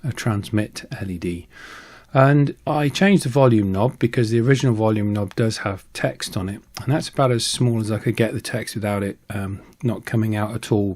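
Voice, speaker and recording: A man narrating a casual how-to video as a hobbyist maker, in a conversational, halting way with frequent ums and pauses. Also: on the low side, darkish tone. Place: voice-over recording.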